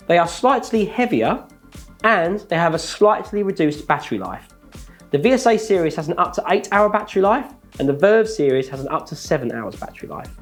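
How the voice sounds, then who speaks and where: Methodical man presenting gear over faint music. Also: fairly high pitch. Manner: measured, methodical listing of features. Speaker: product presenter. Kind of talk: scripted product walkthrough.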